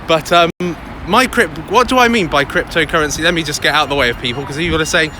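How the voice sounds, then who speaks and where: An English man in a loud, chatty, upbeat manner, vlogging live from a busy city street into a handheld phone. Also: a little high, fast. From England. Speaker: crypto vlogger. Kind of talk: casual live vlog. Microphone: phone held by hand, close. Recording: outdoor street noise. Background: city traffic and passers-by.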